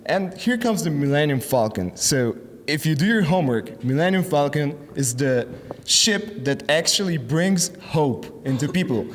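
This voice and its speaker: A man interviewing musicians in a parking lot, asking halting questions full of fillers. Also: fairly high pitch, animated, big-room sound. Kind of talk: casual interview. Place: outdoor parking lot at night.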